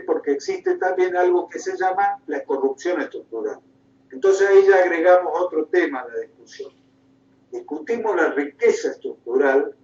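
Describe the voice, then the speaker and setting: A man's voice: on the high side, darkish tone. Argentine man lecturing in a roomy, distant recording. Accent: Argentine Spanish. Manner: emphatic, oratorical, repeats phrases and asks rhetorical questions. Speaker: guest lecturer, political activist. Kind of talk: impassioned political lecture. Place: indoor room, recorded at a conference. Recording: roomy, distant mic, dull sound.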